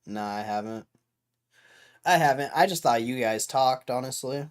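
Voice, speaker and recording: A young man hosts a livestream in a loose, rambling way, talking straight to his chat. His voice is hoarse from hours of talking, and he keeps clearing his throat. He sits at a middle pitch for a man. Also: normal speed. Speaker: livestream host.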